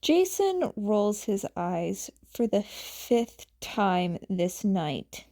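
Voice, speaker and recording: A woman's voice: mid-range voice, very animated, extremely slow, mellow. A woman narrating a story as an amateur fan fiction reader.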